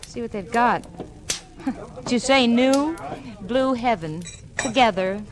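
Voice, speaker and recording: An actress playing a sullen, complaining, uneasy wife in a 1940s radio suspense drama. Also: female voice, fairly high pitch, takes it slowly, crowd noise. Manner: petulant, pleading. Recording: vintage radio recording, music behind.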